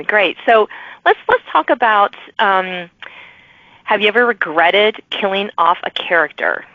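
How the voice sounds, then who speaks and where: A woman's voice: medium pitch, animated, a little slow, dry recording. A woman hosting a podcast interview, chatting casually and often restarting her sentences. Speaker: podcast host. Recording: dull lo-fi dry recording.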